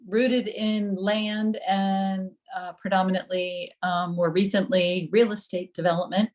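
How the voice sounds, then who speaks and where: Relaxed woman with lots of ums. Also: lowish voice. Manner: relaxed, many ums and pauses. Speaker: new member introducing herself. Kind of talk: casual self-introduction.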